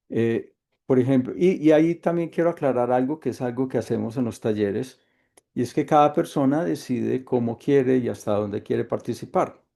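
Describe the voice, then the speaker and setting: A middle-aged Colombian man with a Colombian accent, leading an online workshop in a calm, thoughtful way with frequent hesitations. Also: medium pitch, gravelly. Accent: Colombian Spanish. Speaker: workshop facilitator. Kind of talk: reflective online workshop talk. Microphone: video call.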